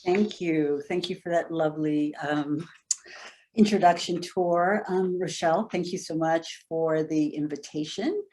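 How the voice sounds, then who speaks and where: A woman's voice: low voice, measured pace, a little mellow. A woman from the Bahamas giving an academic lecture over a video call, talking conversationally and thinking aloud with frequent fillers. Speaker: invited lecturer.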